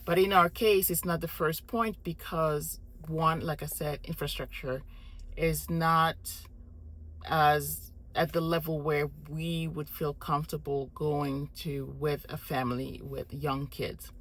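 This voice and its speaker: A woman's voice: sits low, steady tone, slow, fairly bright tone. A woman in her thirties, born in Morocco, explaining her family's decisions in a thoughtful, methodical way to camera. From born in Morocco, Congolese heritage. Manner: thoughtful, methodical, frequent restarts and listing. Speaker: family relocation vlogger. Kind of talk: explanatory casual talk to camera.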